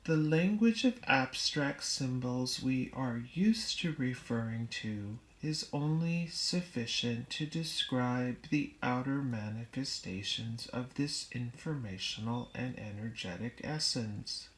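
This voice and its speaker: A woman reading a book aloud as scripted narration, in deliberate, measured phrases with pauses. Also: a bass voice, lively intonation, low-quality recording. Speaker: audiobook reader.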